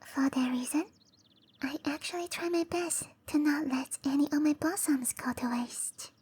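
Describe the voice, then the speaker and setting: A woman voicing a gentle, shy cherry blossom dryad in whispery roleplay ASMR, with soft breathy speech and a tender, hesitant manner. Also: sits high, close to an everyday voice. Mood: gentle, earnest. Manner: slow, tender, hesitant delivery.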